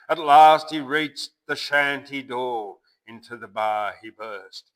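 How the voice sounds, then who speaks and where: An Australian man reciting a bush ballad theatrically, swinging between loud emphasis and hushed line endings. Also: on the low side. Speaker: poetry reciter and channel host.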